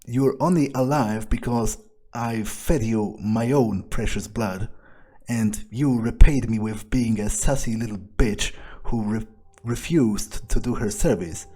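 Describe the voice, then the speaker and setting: A man's voice: fairly low pitch, unhurried, warm tone. A man playing an arrogant, scheming, foul-mouthed vampire in a muttered, scornful in-character monologue over gameplay. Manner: profane, scornful, muttering to himself.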